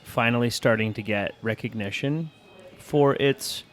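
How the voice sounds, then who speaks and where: A man's voice: medium pitch, unhurried, soft background music. A man co-hosting a podcast, chatting casually and enthusiastically with a joking streak.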